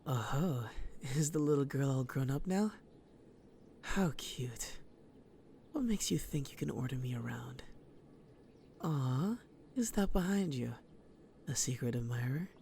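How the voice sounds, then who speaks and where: An actor playing a sly serial killer villain in an audio drama, taunting and theatrical, with a breathy voice that often drops to a whisper. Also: mid-range voice, animated, mellow, a little hiss or hum. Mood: mocking. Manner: taunting, theatrical, slow and menacing. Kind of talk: audio roleplay drama acting.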